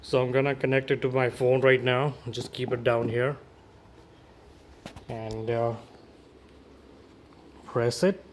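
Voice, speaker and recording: A man's voice: mid-range voice, level tone, slow, pauses often, a little hiss or hum. A man giving a casual, informal unboxing and demo as a gear reviewer, addressing his viewers directly.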